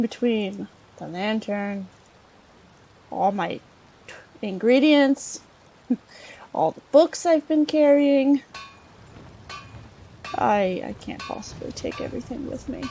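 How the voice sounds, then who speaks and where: A woman narrating a video game in character as an adventuring scholar, halting and thinking aloud as she goes. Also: on the high side, warm tone, slight background noise, poor audio. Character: chatty Breton scholar woman, treasure-hunting adventurer. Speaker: let's play host role-playing a character.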